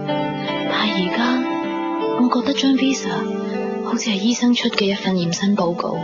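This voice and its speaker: An actress playing a wistful, guarded young woman in love in a radio drama, soft and introspective, with a Hong Kong accent. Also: mid-range voice, lightly acted. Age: young adult. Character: wistful, guarded 22-year-old daughter in love. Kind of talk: radio drama acting and narration. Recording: dull 1990s radio recording under music. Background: guitar music.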